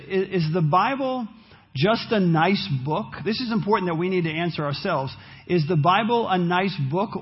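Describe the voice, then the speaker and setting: A man's voice: sits high, breathy edge, lo-fi sound. A man preaching a sermon as pastor, losing his voice, talking earnestly and restarting his phrases.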